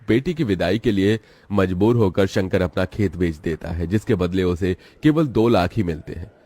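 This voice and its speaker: A man narrating a cartoon drama story in a steady, dramatic storytelling manner. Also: sits low, normal speed.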